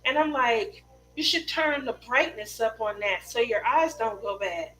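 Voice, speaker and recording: A woman's voice: a little high, a little slow, fairly bright tone, low-quality recording. A middle-aged woman chatting casually with live viewers as host of a craft stream, telling personal stories in a rambling way.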